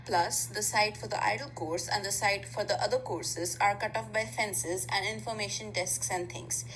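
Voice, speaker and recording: A woman's voice: mid-range voice, normal speed, fairly bright tone, slight background noise, close to an everyday voice, low-quality recording. Woman voicing a mild, chatty teenage boy. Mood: curious, musing. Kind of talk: amateur anime fandub acting.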